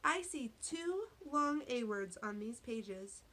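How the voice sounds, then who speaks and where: A woman teacher giving a read-aloud phonics lesson to young children, speaking deliberately, spelling out words and asking questions. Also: on the high side, expressive, a bit bright. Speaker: elementary school teacher.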